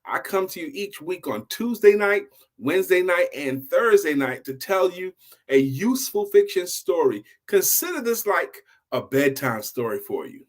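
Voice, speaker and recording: A man's voice: sits high, very animated, normal speed. An older man telling an informal bedtime story in a folksy way, often repeating phrases for emphasis. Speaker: storyteller, host.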